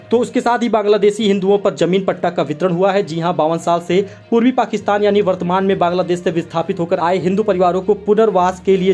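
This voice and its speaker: A man hosts an online news explainer in a high-pitched voice. He reads his script at a rapid pace and speaks straight to his viewers as friends. Faint music plays underneath. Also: a little mellow. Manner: addresses viewers directly as friends. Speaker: host of a news explainer channel. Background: background music.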